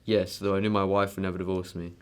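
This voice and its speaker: A man's voice: sits low, level tone, quick pace, smooth, natural voice. A man playing a proud, defensive wealthy husband in an amateur radio drama, speaking bluntly.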